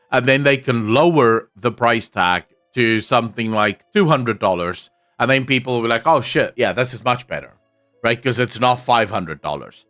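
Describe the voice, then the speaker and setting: South african guy streaming, soft accent, afrikaans first language, chatty and blunt, swears a bit, faint music behind. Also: mid-range voice. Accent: soft South African English. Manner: candid, swears casually, answers chat. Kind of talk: casual live-stream chat, reading comments.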